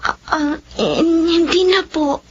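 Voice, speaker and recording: Radio drama lead: emotional young single mother, high voice, earnest and wounded, slightly muffled sound. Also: steady tone, takes it slowly. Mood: nervous, uneasy. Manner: pleading, emotional. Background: music.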